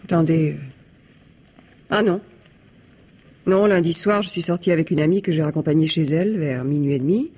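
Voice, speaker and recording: A self-possessed female secretary in a radio mystery. Her voice is husky. She is cool and guarded, answering curtly and with open defiance. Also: deep voice, moderate pace, long pauses, darkish tone. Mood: defensive. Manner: curt, self-possessed, parrying questions. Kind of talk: French radio mystery drama acting.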